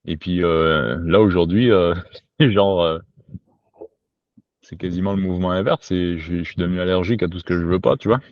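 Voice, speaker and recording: Podcast guest: man from Quebec, very low voice, slangy and spontaneous, muffled lo-fi sound. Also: moderate pace, no echo. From Quebec, Canada. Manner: informal, slangy, swears casually. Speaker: podcast guest, survival instructor. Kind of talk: spontaneous casual conversation. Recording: dull lo-fi home recording.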